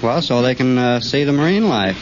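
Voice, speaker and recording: A man's voice: medium pitch, animated, fairly quick. Hesitant male contestant on muffled old radio. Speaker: quiz show contestant. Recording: dull, faintly noisy 1940s radio recording.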